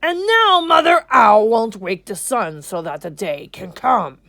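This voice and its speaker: A woman voicing a grave, commanding lion king in a storybook, with regal, deliberate proclamations. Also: expressive, vocal fry, a bit bright, no echo, exaggerated voice. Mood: proclaiming. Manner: regal, booming, slow proclamations.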